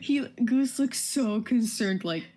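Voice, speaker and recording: A woman's voice: fairly high pitch, expressive, takes it slowly, a crowd in the background. A woman co-hosting a livestream in casual chat, telling little stories and acting out reactions as she goes. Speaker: livestream co-host.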